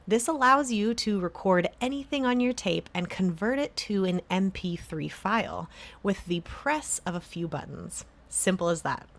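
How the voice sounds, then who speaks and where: A woman presenting a scripted how-to tutorial, explaining things step by step in a clear instructional way. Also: lowish voice.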